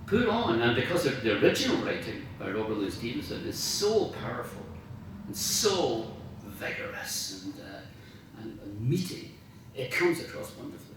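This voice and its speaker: A man's voice: medium pitch, big pitch swings, moderate pace. A man gives an informal speech in a large, strongly reverberant room, picked up from a distance over faint noise. He is enthusiastic and emphatic, often feeling his way towards the next word. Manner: enthusiastic, emphatic, searching for words. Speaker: guest speaker, radio dramatist. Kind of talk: informal after-dinner talk about writing. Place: large indoor room at a club dinner.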